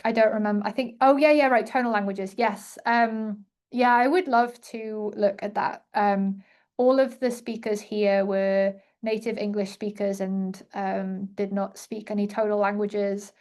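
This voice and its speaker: A woman's voice: mid-range voice, fairly even intonation, unhurried, darkish tone. A young adult woman answering questions after an academic talk, thinking aloud with many fillers and restarts. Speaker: invited speaker answering questions.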